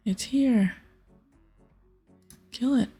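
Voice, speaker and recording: A woman narrates a video game in casual ASMR style. Her soft whisper is very breathy and husky, with plenty of vocal fry. Gentle music plays behind her. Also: on the high side, very slow. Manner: soft-spoken, casual, reads game text aloud. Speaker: ASMR gaming streamer.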